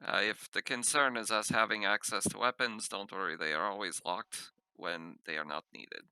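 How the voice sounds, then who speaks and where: Gravelly, bright-voiced spaceship captain played by a man. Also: fairly low pitch, steady tone, no room sound, low-quality recording. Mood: firm, practical. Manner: halting, clipped phrasing with dropped articles. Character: cautious, practical male spaceship captain, dryly skeptical. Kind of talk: improvised tabletop roleplay.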